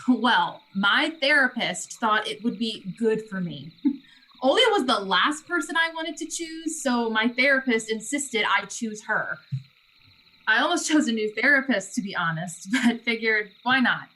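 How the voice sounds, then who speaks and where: A woman acts a young sorority treasurer in a dramatic reading. Her voice is bright and slightly high, with a dry, self-deprecating manner. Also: expressive, measured pace, full character voice, poor audio. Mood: amused, dry.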